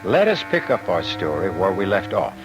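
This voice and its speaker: An actor as the ominous, suave storyteller host of a radio mystery show, narrating in a formal, measured manner. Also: male voice, low-pitched, very animated, music in the background, poor audio. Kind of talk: old-time radio scripted narration.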